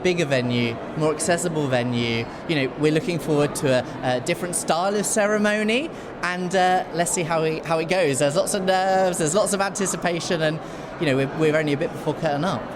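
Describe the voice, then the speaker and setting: A man's voice: on the high side, brisk. A man answering informally and emphatically as chair of an association being interviewed. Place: busy venue before an awards ceremony. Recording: clear background noise of busy venue. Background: venue noise.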